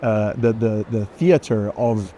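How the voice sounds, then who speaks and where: A man's voice: on the low side, a little slow, mellow. A man moderating a walking conversation at an art fair, searching for phrasing and often ending on a check question. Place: indoor art fair, walking among stands. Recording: faint busy fair hall noise.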